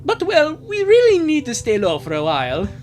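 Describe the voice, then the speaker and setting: A woman's voice: sits high, wildly swooping pitch, moderate pace, a little mellow, soft background music, pitched above the performer's own voice. A woman doing an earnest pleading young boy. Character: cheerful young boy runaway pleading for help.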